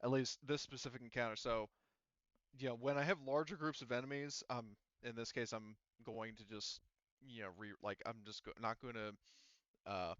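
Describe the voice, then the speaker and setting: A man running a tabletop roleplaying game as game master, explaining rulings in a halting, self-correcting way. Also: medium pitch, fairly even intonation, fairly bright tone, smooth, no echo, dull audio.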